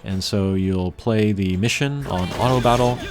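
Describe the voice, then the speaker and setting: A man with a fairly low voice commentates on a video game in a casual, unscripted style. He is low-key and rambles a little, pausing often as he thinks. Game music plays behind him.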